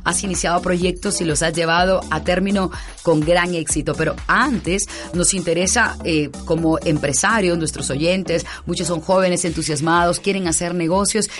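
A woman with a fairly low voice hosts an interview podcast, greeting listeners and questioning her guest with effusive friendliness. Faint music plays under her voice. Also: fairly even intonation, normal speed. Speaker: podcast host.

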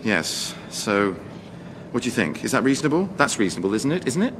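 Flustered english pilot captain for radio comedy, fretful n indignant, voice swoops around, bit of background noise. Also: male voice, medium pitch, sing-song, a little fast, a bit bright, big-room sound. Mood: anxious, pleading. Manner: fretful, indignant, stammering under pressure. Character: anxious, flustered, easily exasperated male airline captain. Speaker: actor in radio sitcom. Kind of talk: radio sitcom acting.

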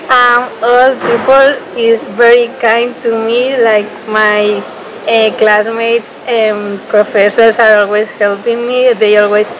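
A woman's voice: a little high, little pitch movement, slow. A young woman from Spain, an exchange student, answering hesitantly in an outdoor courtyard. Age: young adult. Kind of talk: casual interview answers. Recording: noisy outdoor recording.

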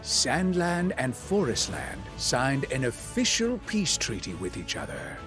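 An actor playing a loyal, fussy elderly demon attendant and storyteller in a video game. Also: male voice, medium pitch, huge pitch swings, measured pace. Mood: narrating. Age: older. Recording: music behind the voice.

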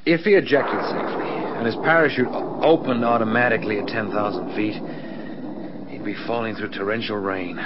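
A man's voice: on the low side, fairly quick, lightly acted. A man playing a grave, analytical air force officer in a radio drama. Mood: concerned. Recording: dull, noisy vintage radio recording.

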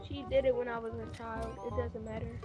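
A woman playing a brusque, distrustful mother in an amateur video game roleplay, brisk and dismissive. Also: a little high, flat delivery, music in the background, lightly acted.

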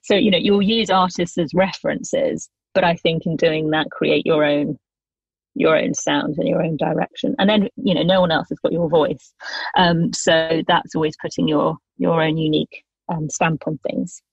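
A woman singer-songwriter in her thirties, answering interview questions thoughtfully with many pauses and restarts. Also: sits low, fairly even intonation, vocal fry, breathy edge, dry recording, highs cut off.

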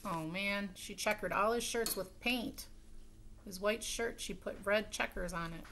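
A woman's voice: medium pitch, unhurried, fairly bright tone. A middle-aged woman reading a children's storybook aloud at home, with casual asides to her listeners. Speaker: story time reader. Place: room at home by a window. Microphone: webcam at desk distance. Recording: webcam sound with faint noise, clicks.

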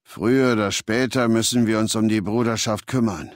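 An actor playing an imperious, menacing warlord ruler in a video game, speaking in a commanding, condescending, deliberate manner. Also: male voice, lowish voice, fairly even intonation, no room sound, studio quality, close to an everyday voice. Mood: stern, cold. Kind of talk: video game dialogue in German dub.

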